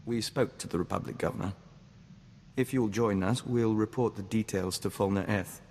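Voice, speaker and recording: An actor playing a calm, dutiful diplomat bound to a hive mind, speaking formally in video game dialogue. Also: male voice, on the low side, in a large hall, a little hiss or hum, lightly acted. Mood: measured. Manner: formal, measured, speaks of himself as we.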